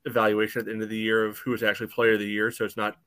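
A man's voice: on the low side, completely flat delivery, rapid-fire, fairly bright tone, clean tone. A man co-hosting a sports podcast in casual discussion, chatty and often dropping "you know" into his sentences.

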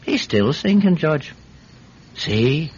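A man's voice: fairly high pitch, very animated, takes it slowly, darkish tone. An actor playing a talkative, wily Irish villager who stammers deferentially as he pleads his innocence in a radio drama. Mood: mock regretful. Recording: muffled, slightly noisy old-style radio recording.

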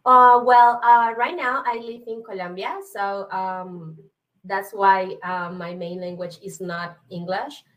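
A woman's voice: mid-range voice, fairly even intonation, slow, fairly bright tone, rough recording. A woman from Venezuela with a Venezuelan Spanish accent, a native Spanish speaker, answering politely and hesitantly as a podcast guest. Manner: polite, hesitant with many fillers. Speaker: guest, virtual assistant. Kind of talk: podcast interview.

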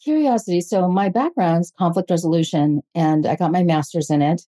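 A middle-aged woman, a parenting coach, explaining things step by step in a measured, casual way. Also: on the low side, warm tone. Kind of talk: casual conversation.

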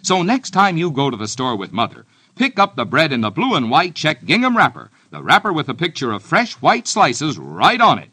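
Male American commercial announcer, bright forceful tone, lively intonation, peppy 1950s radio sales pitch to kids. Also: normal speed, slightly rough, no echo. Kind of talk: scripted 1950s radio advertisement for children.

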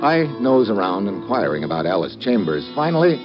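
An actor playing a tough American government agent in an old radio drama, speaking in wry, clipped slang. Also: male voice, on the low side, big pitch swings, fairly quick. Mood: hard-boiled narrating. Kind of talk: old-time radio detective drama acting with narration. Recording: muffled vintage radio recording with music.

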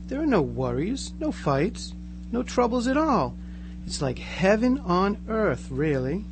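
A man's voice: high-pitched, sing-song, unhurried, noisy background, heavily acted. A man playing an eerily reassuring, converted small-town teenage boy in a radio drama, earnest and gushing. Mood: blissful. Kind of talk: live amateur radio drama acting.

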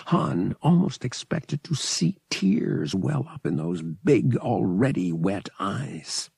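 Gravelly male audiobook narrator, expressive. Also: lowish voice, no echo. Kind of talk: scripted dramatic story narration.

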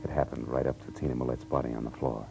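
A man's voice: extremely low pitch, level tone, fast talker, gravelly, low-quality recording. An American man playing a tough, world-weary private detective in 1940s radio drama, clipped and matter-of-fact. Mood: tense narrating. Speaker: lead actor and narrator. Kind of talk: 1940s radio acting, first-person narration and dialogue. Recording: vintage radio drama with music.

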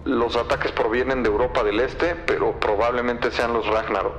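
An actor playing a cautious police tech analyst giving serious briefings in a crime audio drama. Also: male voice, medium pitch, steady tone, moderate pace, fairly bright tone, a little hiss or hum, lightly acted. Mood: serious, cautioning. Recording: echoey voice, faint music behind. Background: faint music.

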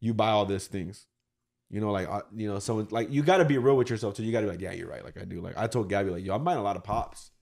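A man's voice: lowish voice, quick pace, gravelly, darkish tone. A man in his thirties, a podcast host, talking in a laid-back, casual way full of "like" and "you know". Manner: laid-back, loose, filler-heavy talk with "like". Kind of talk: casual chat.

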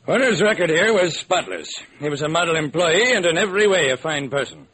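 A man's voice: on the high side, animated, brisk, a bit bright, husky, rough recording. An actor playing a firm, businesslike male company owner in a classic radio crime drama. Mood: firm, formal.

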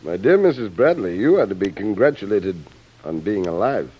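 Deep, suspicious old-radio island boss. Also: male voice, very animated, normal speed, slightly rough. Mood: courteous, probing. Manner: courteous but probing. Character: suspicious, smooth-mannered island boss questioning a castaway. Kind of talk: 1940s radio acting. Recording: muffled vintage radio recording.

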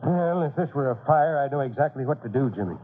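Gruff, husky fire chief acted by a man. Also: mid-range voice, expressive, fast, natural voice. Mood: puzzled, gruff. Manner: blustery, exasperated. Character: gruff, grumpy, tired but fatherly fire chief. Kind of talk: old-time radio drama acting. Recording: muffled lo-fi vintage radio recording.

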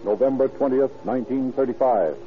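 A man's voice: medium pitch, a little fast, faint music behind. Formal 1930s male radio announcer, muffled sound. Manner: formal, polished salesmanship. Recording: muffled vintage radio recording.

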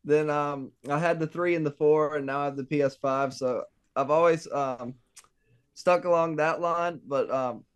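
Young male guest, higher pitch, smooth tone, halting with fillers. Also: fairly even intonation. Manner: hesitant, many ums and likes. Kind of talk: casual chat.

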